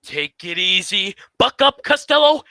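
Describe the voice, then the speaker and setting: A man's voice: high voice, big pitch swings, measured pace, bright, forceful tone, full character voice. A man playing a bossy, cajoling, self-serving straight man in a vintage-style comedy radio double act. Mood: goading.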